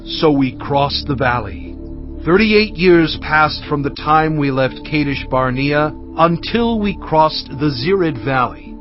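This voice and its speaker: A man as Moses, steady and measured. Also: a little high. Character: Moses, an aging male leader recounting his people's journey. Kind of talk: dramatized scripture reading. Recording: dull lo-fi audio with faint music.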